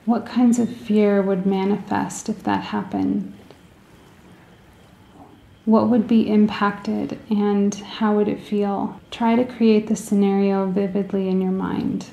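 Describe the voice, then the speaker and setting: A woman leading a guided meditation in a soothing, gentle way, with deliberate phrasing and pauses, in a roomy, echoing recording. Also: mid-range voice, little pitch movement, creaky, muffled recording.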